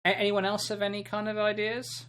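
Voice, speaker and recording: A man's voice: high-pitched, monotone, brisk, a crowd in the background. A man hosting a casual podcast chat about video games. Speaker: podcast host.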